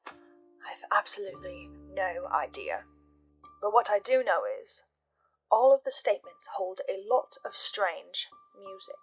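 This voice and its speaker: A woman giving intense, theatrical scripted commentary with emphatic pauses, like a host laying out a theory. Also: mid-range voice. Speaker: host of a reaction and theory channel. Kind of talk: dramatic scripted commentary on a fiction podcast. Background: background music.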